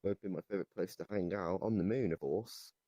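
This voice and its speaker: A young man improvising comedic chat in a deadpan, hesitant way. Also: low voice, fast talker, dry recording. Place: online voice chat in a virtual reality game.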